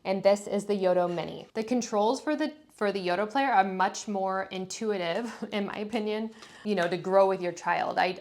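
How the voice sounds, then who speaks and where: A woman's voice: mid-range voice, slight background noise. A woman giving a casual, conversational product review as a parent talking to viewers. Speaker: parent reviewing kids' toys.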